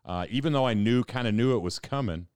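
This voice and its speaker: A man's voice: medium pitch, quick pace, darkish tone, smooth, dry recording. A man pastor talking thoughtfully in a casual panel discussion, often repeating words as he thinks.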